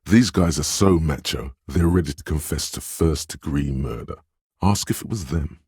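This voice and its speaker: An actor voicing a sly inner voice in a video game, reading people and coaching in a measured, knowing, insinuating way with a gravelly, husky delivery. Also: male voice, rumbling low, darkish tone, dry recording, clean studio sound, full character voice. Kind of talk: scripted video game narration.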